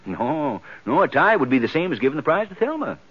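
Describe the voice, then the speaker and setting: A man's voice: a little high, sing-song, a little fast, breathy edge. Wheedling small-town man, wildly swooping voice. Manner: folksy, insinuating, hems and haws. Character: wheedling, pushy small-town husband in a western. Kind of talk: 1950s radio western acting. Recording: muffled 1950s radio recording.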